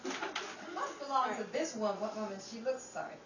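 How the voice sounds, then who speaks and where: A woman with a high, animated voice, haltingly rehearsing lines as an actress. She is picked up from afar in an echoey room with clear background noise. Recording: echoey, distant, noisy pickup.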